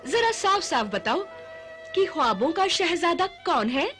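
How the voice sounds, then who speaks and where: An actress playing a playful young confidante in a period serial, coy and teasing. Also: female voice, very high-pitched, sing-song, measured pace, a bit bright, soft background music, exaggerated voice. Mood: teasing, curious. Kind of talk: formal Urdu-flavoured period serial acting.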